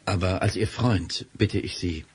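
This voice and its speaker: Crime-fighting chief for audio drama: measured, gentlemanly, caring, deeply husky. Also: male voice, exaggerated voice. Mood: sober, concerned. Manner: formal, measured. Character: concerned, courteous male boss of crime-fighting foundation.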